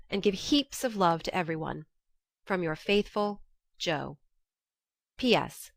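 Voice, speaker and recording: A woman's voice: on the low side, gravelly, no room sound, clean studio sound. A woman narrating an audiobook, reading a classic novel's first-person letters as scripted narration.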